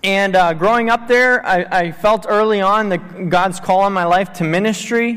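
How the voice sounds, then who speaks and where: A man's voice: sits high, normal speed, fairly bright tone, clean tone. A man in his thirties, a missionary who grew up in Tanzania, speaking earnestly to a church congregation with many fillers. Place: church sanctuary. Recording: long church hall reverb.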